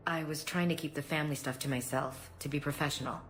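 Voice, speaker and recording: A low-pitched, tough and candid military woman acted by a woman in game dialogue. She sounds earnest and restrained, with music playing under her words. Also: fairly even intonation, fast talker, natural voice. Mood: subdued, vulnerable. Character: female soldier officer, tough, candid, guarded. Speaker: voice actor in a video game. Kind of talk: dramatic video game dialogue.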